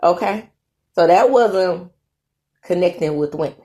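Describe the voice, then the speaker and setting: A woman's voice: lowish voice, animated, unhurried, warm tone, lo-fi sound. Chatty woman commentator saying "okay" a lot. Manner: chatty, emphatic, repeats "okay?" often. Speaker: commentary channel host. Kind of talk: casual opinion commentary.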